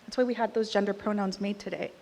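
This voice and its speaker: A woman's voice: mid-range voice, fairly even intonation, fast, creaky, a little mellow. Woman speaking in a reverberant hall. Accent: American. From Costa Rica. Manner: candid, conversational, with some emphatic punches. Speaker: award speaker. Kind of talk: speech at an awards event. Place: large hall.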